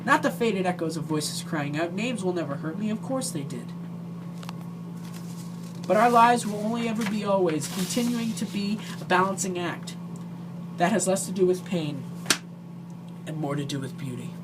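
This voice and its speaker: Amateur vlogger, mid-pitched voice, heartfelt and halting delivery, clear noise on a homemade recording. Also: animated. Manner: earnest, emotional, hesitant. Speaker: amateur video creator. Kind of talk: earnest direct-to-viewer vlog talk.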